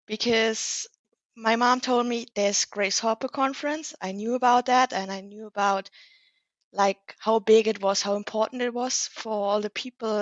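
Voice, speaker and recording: A woman's voice: mid-range voice, dry recording, muffled recording. A young woman in her late teens whose first language is German, a podcast guest speaking English in a modest, slightly hesitant way. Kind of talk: casual conversational interview.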